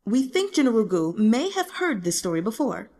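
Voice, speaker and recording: A woman's voice: fairly high pitch, very animated, normal speed, a little mellow, in a large hall. A woman voicing a self-mocking, burdened young emperor in an audiobook, formal and solemn, with regal phrasing. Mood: grave, confiding. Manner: formal, solemn, speaks in the royal we. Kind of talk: audiobook character dialogue.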